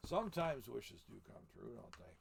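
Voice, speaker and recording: A man's voice: medium pitch, very animated, normal speed, gravelly, a little hiss or hum. An older man in the role of Santa Claus reads a picture book aloud, adding folksy, chatty asides as he goes. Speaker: storyteller in the persona of Santa Claus.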